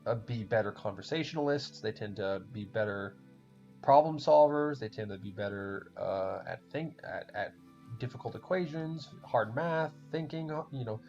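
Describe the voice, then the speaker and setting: Casual gamer host, German accent, low gravelly voice, loose swearing ramble. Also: male voice, normal speed, darkish tone. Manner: rambling, swears often, laughs a lot. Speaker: podcast host playing a game. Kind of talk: casual chat while gaming. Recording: dull lo-fi recording with faint music.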